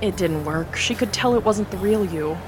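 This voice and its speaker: A woman's voice: medium pitch, normal speed, over music, natural voice. A woman playing an earnest young charity worker, hesitant and eager, with some stammering. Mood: nervous. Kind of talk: audio drama acting.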